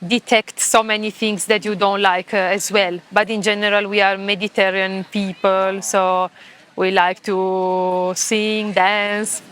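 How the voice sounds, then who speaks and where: A woman's voice: medium pitch, level tone, measured pace, fairly bright tone, slight background noise. A woman in her thirties with an Italian accent, answering interview questions on a beach, hesitant and often laughing. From Italy. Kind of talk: casual street interview. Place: beach, outdoors.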